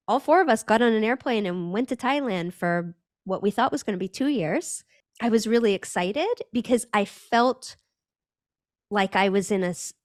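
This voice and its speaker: A woman's voice: medium pitch, no room sound, studio quality. A woman from Northern California, a brand strategist talking as a podcast guest, thoughtful and searching, with frequent restarts. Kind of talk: reflective conversational interview.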